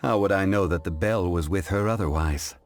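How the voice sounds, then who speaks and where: An actor playing a dignified, composed scholarly gentleman in a video game, speaking in a formal, measured, erudite way. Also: low voice. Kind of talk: video game cutscene dialogue. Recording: music behind the voice.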